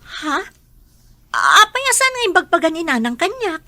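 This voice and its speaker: Bright-voiced young daughter. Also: female voice, very high voice, very animated, moderate pace, heavily acted. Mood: surprised, questioning. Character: dutiful young daughter, startled then accepting. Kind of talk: Ilocano radio drama acting.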